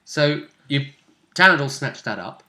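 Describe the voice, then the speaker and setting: A man talks casually as a tabletop game master going over play. His voice is bright and his pitch moves about a lot. He is playful and readily slips into acting out bits of the game. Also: a little slow. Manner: playful, animated, acts out game moments.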